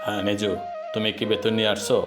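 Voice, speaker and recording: Man as low-voiced stern schoolteacher. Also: monotone, quick pace, over music, natural voice. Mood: questioning, stern. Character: questioning adult male schoolteacher, stern about fees. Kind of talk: cartoon voice acting.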